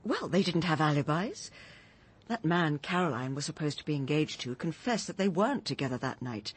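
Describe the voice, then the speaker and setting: Troubled, earnest Englishwoman, radio drama. Very breathy, hesitant delivery with a lively, shifting pitch. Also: moderate pace, exaggerated voice. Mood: uneasy, probing. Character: troubled, earnest woman gently confronting her friend. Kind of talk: British radio drama acting.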